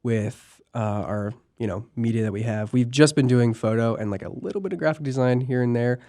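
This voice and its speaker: Young male podcast host, slightly hoarse. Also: medium pitch, fairly quick, warm tone. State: hoarse from a cold. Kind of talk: casual podcast chat. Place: campus podcast studio.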